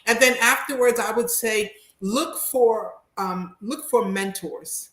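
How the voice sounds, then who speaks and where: A woman's voice: on the high side, takes it slowly, fairly bright tone. Woman in her fifties, roomy echo. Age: 50s. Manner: emphatic, repeats phrases for emphasis. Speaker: panelist. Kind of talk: candid conversational advice.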